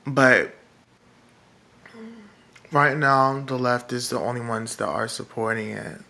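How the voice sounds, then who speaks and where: Slow, gravelly man with a feminine-sounding voice. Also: medium pitch, sharp tone. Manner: halting and rambling, full of fillers and restarts. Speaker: political vlogger. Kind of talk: casual monologue to camera.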